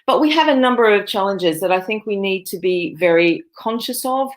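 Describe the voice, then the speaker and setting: A woman's voice: medium pitch, expressive, normal speed. A woman, a nursing professor giving a conference presentation over a video call, with many fillers and restarts. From Australia. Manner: fillers and self-corrections.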